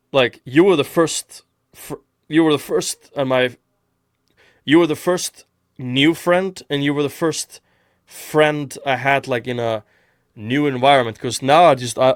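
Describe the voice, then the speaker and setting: A man's voice: medium pitch, no echo, clean studio sound. A man from Iceland hosting a casual podcast chat, rambling with many restarts. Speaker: podcast host.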